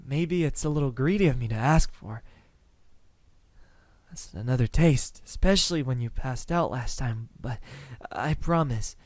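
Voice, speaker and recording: A man playing a courteous, lovestruck vampire in an intimate ASMR roleplay, speaking softly in formal words with hesitant pauses. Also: medium pitch, no echo, lightly acted. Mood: hesitant, longing. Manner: hesitant, soft-spoken, formal wording with lingering pauses.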